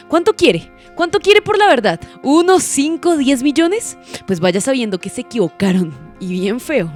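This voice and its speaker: An actress playing a furious, imperious woman in an audio drama, accusing with vehement sarcasm. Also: a little high. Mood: enraged, sarcastic. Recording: music underneath. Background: music.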